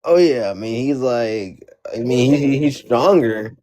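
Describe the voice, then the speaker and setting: A man's voice: medium pitch, lively intonation, measured pace, warm tone. A man hosting a casual debate chat, joking and rambling with lots of repetition.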